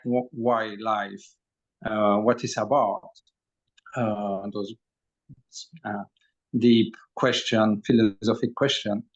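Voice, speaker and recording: A man in his forties, from Belgium, speaks with a French accent, searching for his words with many pauses. He talks slowly at an ordinary pitch. The recording is dull and lo-fi, as over a video call. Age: 40s. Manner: halting, with many pauses and fillers. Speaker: podcast guest, energy healer. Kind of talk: casual interview talk. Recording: dull lo-fi audio.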